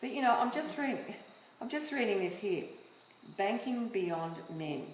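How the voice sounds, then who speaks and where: A woman's voice: medium pitch, animated, measured pace, reverberant, slight background noise, poor audio. A woman hosting a casual interview, chatting freely and leaning on fillers like "like" and "you know". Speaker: talk show host.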